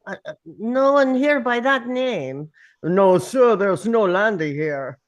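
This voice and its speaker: A woman's voice: medium pitch, expressive, slow pace. A woman reading the part of a polite, apologetic hotel receptionist in a screenplay table read.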